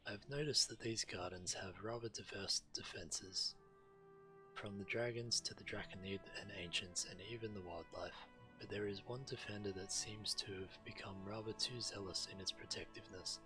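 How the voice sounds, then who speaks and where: A man playing a courteous scholar in a fantasy game, speaking in formal, measured and polite phrases, with music underneath. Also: on the low side, close to an everyday voice, poor audio. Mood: courteous, earnest. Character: courteous male scholar visiting enchanted gardens. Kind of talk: fantasy game character dialogue.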